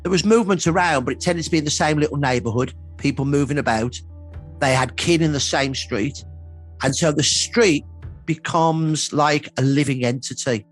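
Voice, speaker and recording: A husky-voiced man speaks as a historian in a documentary interview. He explains emphatically, repeating phrases for stress, over faint music. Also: a little high, normal speed. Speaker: historian, expert commentator. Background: faint background music.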